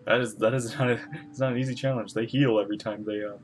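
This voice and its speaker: A woman streamer giving casual, playful, halting reaction commentary on a video game. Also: very deep voice, fairly even intonation, a little hiss or hum. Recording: game audio and music behind.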